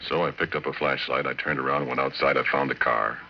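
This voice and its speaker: An actor playing an easygoing oil-rig roughneck telling a horror tale in folksy, colloquial 1940s radio drama style, with a gruff voice. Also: male voice, a bass voice, steady tone, fast talker. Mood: chatty narrating. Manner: drawling, colloquial, conversational storytelling. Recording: muffled, noisy old radio recording.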